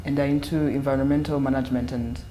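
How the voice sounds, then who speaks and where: Low-voiced woman asking probing questions. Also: fairly even intonation, fast talker, mellow, a little hiss or hum. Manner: probing, builds a question step by step. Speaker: panelist. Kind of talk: panel discussion. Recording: echoey room.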